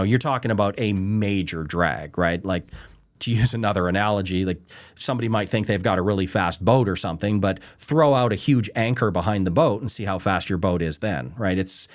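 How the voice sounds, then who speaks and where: A man from Canada hosting a trading show, talking casually and emphatically and often asking "right?" and "you know". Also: fairly low pitch. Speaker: trading show host answering viewer questions. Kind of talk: casual unscripted explainer.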